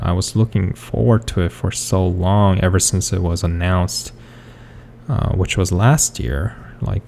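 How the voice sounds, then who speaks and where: Low slow gravelly man, hesitant. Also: a little mellow, a little hiss or hum. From South Korea. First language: Korean. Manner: hesitant, full of fillers and restarts. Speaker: artist. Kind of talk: rambling speed-paint commentary.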